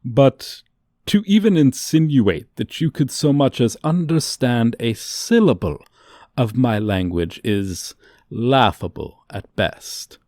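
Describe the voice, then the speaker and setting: A man's voice: medium pitch, expressive, slow pace, darkish tone, dry recording, studio quality. A man voicing a haughty dragon professor in an ASMR roleplay, condescending to a human student in pompous, archaic, formal diction. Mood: lofty, disdainful.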